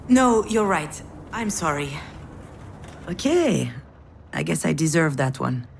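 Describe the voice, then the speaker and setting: An actress playing a bitter, overwhelmed daughter in a visual novel, with a sardonic, dramatic delivery. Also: female voice, sits low, slow pace, vocal fry, slight background noise, full character voice. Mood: curt. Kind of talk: visual novel voice acting with inner monologue.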